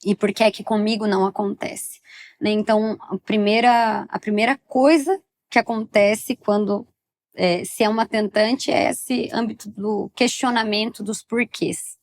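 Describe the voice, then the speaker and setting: A woman, a psychologist guest on a podcast, explaining calmly and giving frequent affirmations. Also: medium pitch, measured pace. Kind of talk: interview answers.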